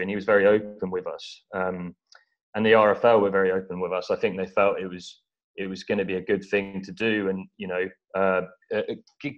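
An English man from East Anglia giving measured, modest, somewhat hesitant conversational answers as an interview guest. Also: lowish voice. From East Anglia, England. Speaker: interview guest, documentary film-maker. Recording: dull, lo-fi audio.

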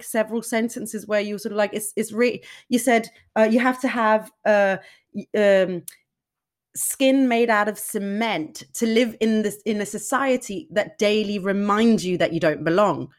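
A woman hosting an interview, animated and emphatic, with frequent restarts and laughs. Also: mid-range voice, moderate pace. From Denmark. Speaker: podcast host. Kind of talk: conversational interview.

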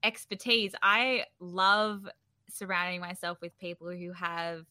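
Interview guest, woman. Forward, bright tone, very dry close sound, informal and encouraging. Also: lowish voice, flat delivery, unhurried, clean tone, no room sound. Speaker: startup founder, interview guest. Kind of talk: casual conversational interview.

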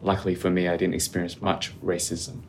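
A man speaking as a podcast guest in a calm, reflective, measured way. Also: sits low, slight background noise. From Australia. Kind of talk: casual interview conversation.

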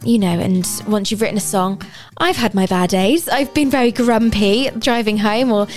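A woman's voice: medium pitch, moderate pace, faint music behind. A woman hosting a radio show, chatting casually with a guest, still getting her voice back after losing it. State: recovering from voice loss.

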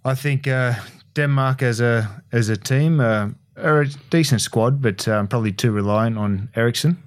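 A man in his forties with an Australian accent, a former footballer giving measured, plain-spoken opinions on a podcast. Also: medium pitch, no room sound. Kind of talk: casual sports punditry.

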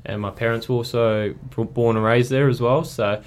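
A young man born in Belarus, talking in an easygoing, thoughtful way with frequent pauses as a guest in a casual chat. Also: medium pitch, fairly even intonation. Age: young adult. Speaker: guest athlete.

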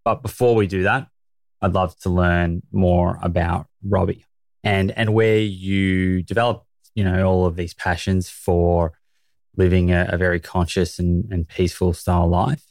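A man hosting a podcast, his voice deep and gravelly with plenty of fry. He asks questions with enthusiasm, often restarting his phrases. The recording is close and dry. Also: flat delivery, a little slow, darkish tone, dry recording. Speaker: podcast host. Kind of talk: interview questions.

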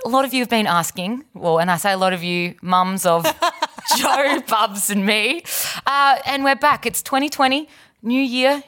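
A woman's voice: fairly high pitch, measured pace, bright, forceful tone. A woman from South Australia with a South Australian accent, hosting a casual chat podcast, bubbly and teasing, laughing often. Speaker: podcast host.

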